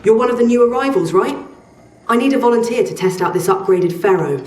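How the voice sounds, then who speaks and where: A woman's voice: fairly high pitch, lively intonation, a little fast, a little mellow, natural voice. An actress playing a brusque female arms dealer in a video game, handing out jobs in a blunt, dry, casual way. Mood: brisk. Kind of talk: scripted game quest dialogue. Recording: roomy, distant, faint music.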